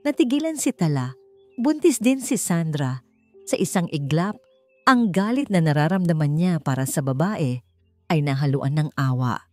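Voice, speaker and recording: Low-pitched woman narrating a dramatic story over music. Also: expressive, moderate pace, no echo. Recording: music behind the voice. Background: background music.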